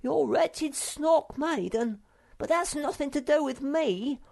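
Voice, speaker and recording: A squeaky, whiny little creature. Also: male voice, slow pace, gravelly, a little mellow, heavily acted. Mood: whining. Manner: peevish, squeaky and smug. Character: small whiny complaining male creature.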